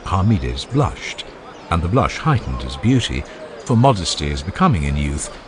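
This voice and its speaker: Deep, very expressive male audiobook narrator. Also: moderate pace, noisy background. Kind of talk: dramatized scripted narration.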